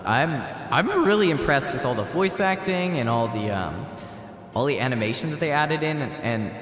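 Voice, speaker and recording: A man's voice: fairly high pitch, fairly bright tone. A man streaming a game and chatting casually, with reverb on the voice. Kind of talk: casual livestream chat. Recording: reverberant, echoey voice effect.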